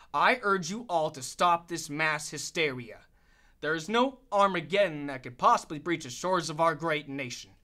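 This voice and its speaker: A man's voice: on the high side, bright, forceful tone. A man playing a confident, blustering American military commander in a radio drama, emphatic and assured.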